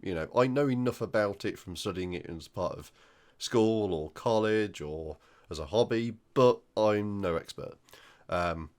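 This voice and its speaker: A man with a croaky voice from flu, hosting a hobby news roundup in a casual, hesitant way with many ums. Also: on the low side, a little slow, hardly any pauses. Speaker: hobby channel host.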